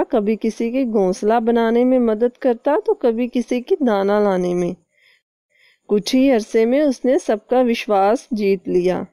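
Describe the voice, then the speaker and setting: A woman's voice: a little high, dark tone, no room sound, studio quality. A woman narrating a scripted cartoon story as a storyteller.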